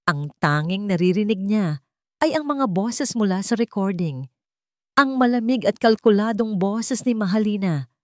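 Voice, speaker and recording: A woman's voice: medium pitch, a little mellow, no room sound, clean studio sound. A woman narrating a romantic melodrama as a scripted, dramatic story.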